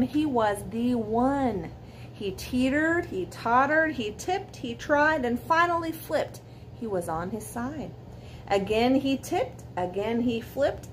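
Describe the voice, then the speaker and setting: A woman in her forties reads a children's bedtime story aloud with dramatic emphasis, pausing to put questions to young listeners. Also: sits high, poor audio. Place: living room at home beside a fireplace. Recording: clear background noise, room ambience.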